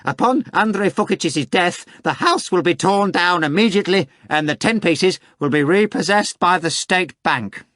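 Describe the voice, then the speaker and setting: A man's voice: sits high, lively intonation, moderate pace, hardly any pauses, a bit bright, no room sound, studio quality, voice pushed up. A man voicing a mocking male demon with a cracked, rotten voice in an audiobook. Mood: gloating.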